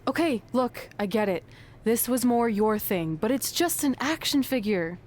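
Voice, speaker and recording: A woman's voice: fairly high pitch, lively intonation, a little slow, a little mellow. A woman playing a supportive, teasing wrestler friend in a video game, speaking casually with noise in the background. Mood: reassuring. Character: upbeat, teasing female wrestler, supportive best friend of hero.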